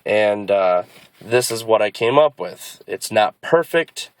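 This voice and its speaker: A man's voice: fairly low pitch, animated, slow pace, clear, resonant tone, no room sound. A man giving a casual, unscripted video walkthrough as a hobbyist, explaining in a hesitant, rambling way. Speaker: car hobbyist presenting a DIY install.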